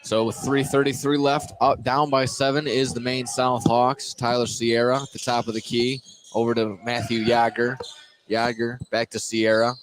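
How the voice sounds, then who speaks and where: A man's voice: mid-range voice, little pitch movement, moderate pace. A man calling live basketball play-by-play as the announcer. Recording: noisy gym ambience, ball bounces. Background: basketball game in a busy gym.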